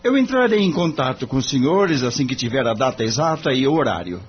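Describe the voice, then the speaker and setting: A man's voice: medium pitch, sing-song, brisk, natural voice. A man playing an earnest, courteous young lawyer in an audio drama, polite and thoughtful. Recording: dull recording with faint hum.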